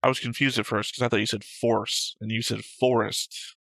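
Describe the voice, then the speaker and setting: A man's voice: mid-range voice, flat delivery, moderate pace, creaky, fairly bright tone, no echo. A man co-hosting a reaction show, chatting casually about a series in a flippant, argumentative way. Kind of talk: casual discussion of an anime series.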